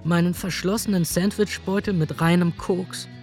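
Slightly high male lead, worn-out inner monologue, coarse, faint music under. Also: fairly even intonation, normal speed, darkish tone. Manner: rough, weary inner monologue with coarse words. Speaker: narrator and lead character. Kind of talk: radio drama first-person narration.

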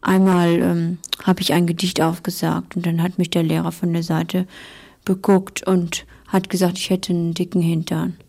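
Older woman, creaky dark voice. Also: on the low side, little pitch movement, normal speed. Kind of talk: personal recollection.

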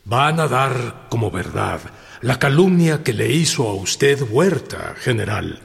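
Radio drama: loyal, cautious confidant. Animated intonation, slow delivery, long reverberant hall. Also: male voice, mid-range voice, vocal fry, exaggerated voice. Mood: concerned. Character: Gildardo, loyal, cautious, respectful male confidant of general.

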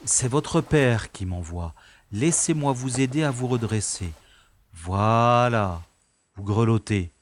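A man's voice: medium pitch, animated, slow, a little hiss or hum. A man playing an ironic, nonchalant special agent who narrates his own adventure in an audio drama. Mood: calm. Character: wry, cocky special agent, adult man. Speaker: narrator-hero.

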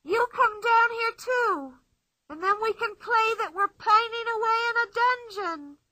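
A woman voicing a bold, playful young girl with a high, drawn-out, strange-sounding voice while reading a storybook aloud. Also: bright, forceful tone, no room sound, heavily acted.

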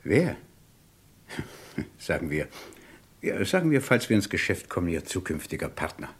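An actor playing a menacing gangster boss in a German radio crime play, mockingly courteous and smooth-talking with a gravelly voice. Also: male voice, sits low, sing-song, exaggerated voice. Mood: sly persuasion. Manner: ironically polite, smooth-talking, unhurried menace.

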